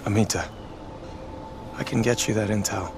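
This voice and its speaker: An actor playing a reluctant young male hero in a video game cutscene, subdued and low-key. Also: fairly even intonation, normal speed, gravelly. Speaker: player character in a video game. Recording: loud music underneath.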